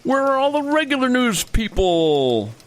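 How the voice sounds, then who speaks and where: An actor playing a pompous, corrupt senator in a radio comedy, with a blustering, indignant manner. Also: male voice, extremely high pitch, sing-song, measured pace, exaggerated voice. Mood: irritated. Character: pompous, corrupt, self-satisfied male senator candidate. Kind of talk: comedy radio theater acting.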